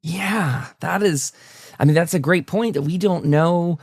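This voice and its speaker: Guy doing a chatty podcast host thing, enthusiastic, bit of fry in the voice, really dry close sound. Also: fairly high pitch, a little slow, no room sound. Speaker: talk show host interviewing a guest. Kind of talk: casual interview chat.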